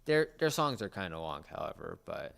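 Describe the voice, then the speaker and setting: A man with a fairly low, smooth voice talks in a casual fan conversation. He speaks haltingly and often searches for the right word as he explains things. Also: big pitch swings, a bit bright.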